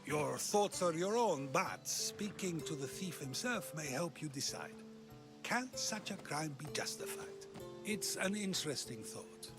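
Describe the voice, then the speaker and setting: High-voiced, inquisitive Greek philosopher. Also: male voice, expressive. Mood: musing, inquisitive. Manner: inquisitive, measured, rhetorical questioning. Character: male ancient Greek philosopher, probing, wry, talkative. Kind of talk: video game cutscene acting. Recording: music behind the voice.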